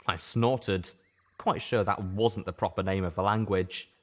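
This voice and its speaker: A man's voice: sits low, fairly even intonation, a little slow, fairly bright tone. A man reading scripted first-person fiction aloud as an audiobook narrator.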